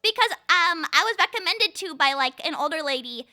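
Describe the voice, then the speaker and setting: Woman in her twenties, very high voice, lively swooping intonation, playful rambling livestream chat, faint music behind. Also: moderate pace. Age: 20s. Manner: playful, rambling, says "like" constantly. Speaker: livestream host chatting with viewers. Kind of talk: casual chat.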